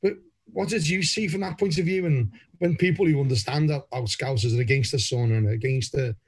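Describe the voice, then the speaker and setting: Husky-voiced man asking rambling questions. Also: mid-range voice, fairly quick. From Liverpool. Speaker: podcast host. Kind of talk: casual interview chat.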